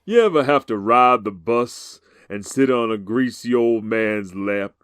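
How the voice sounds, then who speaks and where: Man playing a slow, creepy, lewd old man. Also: medium pitch, a bit bright, smooth, dry recording, studio quality, natural voice. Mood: sly, lewd. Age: older. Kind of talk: comedic horror radio host.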